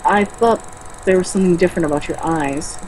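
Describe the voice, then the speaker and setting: A woman voicing a shy, sullen teenage girl in an amateur novel read-along, giving halting, short answers. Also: fairly low pitch, a little mellow, a little hiss or hum, natural voice, rough recording. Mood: puzzled.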